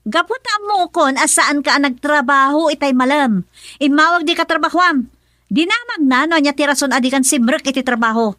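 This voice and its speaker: A high, bright-voiced young wife with an Ilocano accent, sharp and scolding toward her drinking husband, as a woman acts her in a radio drama. The sound is dry and close. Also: brisk, no echo.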